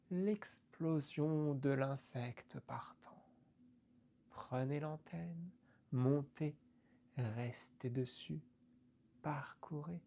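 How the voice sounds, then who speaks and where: Slow, gravelly woman reciting poetry. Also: low-pitched, mellow. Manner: deliberate, halting, broken into short phrases.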